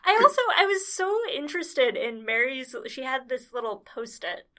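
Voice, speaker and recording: A woman's voice: high voice, very animated, normal speed, bright, forceful tone. A woman guest on a casual podcast, a public health planner, talking in a dry, understated way with hesitant restarts. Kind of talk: casual chat.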